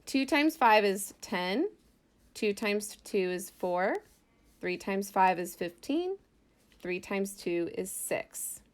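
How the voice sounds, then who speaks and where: A woman's voice: mid-range voice, expressive, takes it slowly. Woman teaching math in a casual, step-by-step way. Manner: casual, step-by-step explaining with self-talk. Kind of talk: informal worked-example math lesson, thinking aloud.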